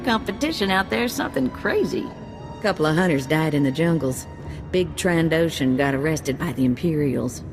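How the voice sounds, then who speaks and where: A woman voicing a brisk, wry bounty broker giving out jobs. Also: sits low, expressive, over music.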